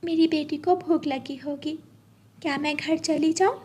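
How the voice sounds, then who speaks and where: Woman as a soft-spoken, humble mother bird. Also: high-pitched, steady tone, a little slow, pitched above the performer's own voice. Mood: meek, pleading.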